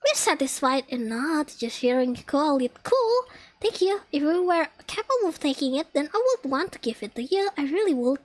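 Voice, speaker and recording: A woman voicing a composed young engineer student from an anime game, measured and explanatory. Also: high voice, sing-song, darkish tone, pitched below the performer's own voice. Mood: calm, measured. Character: composed young female engineer student, matter-of-fact senior.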